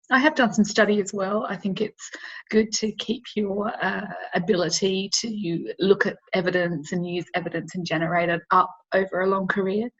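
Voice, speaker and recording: Middle-aged woman, Australian accent, slightly croaky, measured presenter, dull lo-fi webinar sound. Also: fairly low pitch. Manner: measured, explanatory, with frequent fillers. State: slightly croaky from hay fever. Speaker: occupational therapist giving a webinar.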